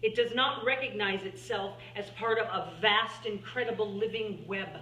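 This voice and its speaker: A woman's voice: medium pitch, pushed and bright, close to an everyday voice. A woman playing an earnest scientist and writer, giving a formal, emphatic speech to lawmakers in a dramatic monologue. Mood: urgent, grave. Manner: deliberate, emphatic, oratorical. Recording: echoey room, distant mic, faint hum.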